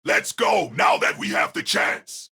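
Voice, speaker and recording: A man playing a brusque, commanding young fighter in an audio drama, with a raspy, gravelly, urgent voice. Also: unhurried. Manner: forceful, urgent, barking. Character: brusque, commanding young male fighter, wounded but composed.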